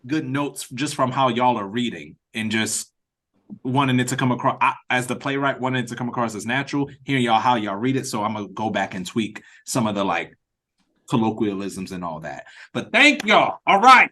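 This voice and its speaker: A man's voice: medium pitch, lively intonation, a little fast, sharp tone. A man speaking as a playwright leading a read-through on a video call, giving casual, encouraging and meandering feedback. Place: remote meeting over a video call.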